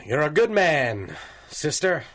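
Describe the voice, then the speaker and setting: A man's voice: on the high side, very animated, slow pace, fairly bright tone, full character voice. A stubborn judge from an old radio serial. He talks in a brusque, dryly sardonic way, with the clipped theatrical style of 1930s radio. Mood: wry, brusque. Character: gruff, wry, stubborn male judge in 1930s radio drama.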